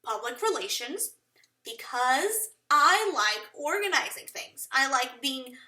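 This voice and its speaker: A woman's voice: high voice, sing-song, slow, pushed and bright, reverberant, distant mic. A young woman from Portugal rambling self-consciously to the camera in a casual vlog, laughing often as she thinks aloud. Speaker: vlogger.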